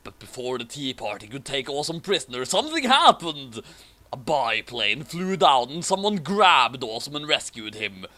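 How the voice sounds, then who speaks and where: A man reading a story aloud in an animated, dramatic way with joking commentary. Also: mid-range voice. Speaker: host reading fan fiction aloud.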